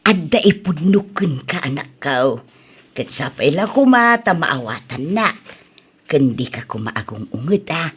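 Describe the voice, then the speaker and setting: A woman plays a headstrong widowed mother in an Ilocano comedy drama. Her voice is husky and swings widely in pitch as she pleads and insists with an Ilocano accent. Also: sing-song, measured pace, full character voice. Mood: coy, confessing. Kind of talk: Ilocano comedy radio-style drama acting.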